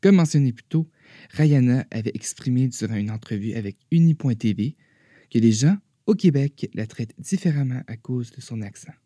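A man presenting a radio show from a script, with a husky voice. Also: medium pitch, animated, normal speed, warm tone, no room sound, clean studio sound. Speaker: radio host.

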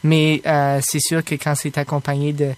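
Casting: male interviewee, Franco-Manitoban accent, somewhat high voice, halting and unscripted. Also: completely flat delivery, clean tone. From Manitoba, Canada. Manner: halting, searching for words. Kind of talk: hesitant personal testimony.

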